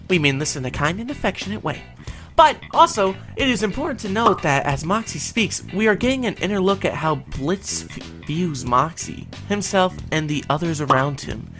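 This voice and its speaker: A woman's voice: on the low side, expressive, normal speed, a bit bright, soft background music. A woman co-hosting a fan recap, giving semi-scripted episode commentary in an emphatic, theatrical way.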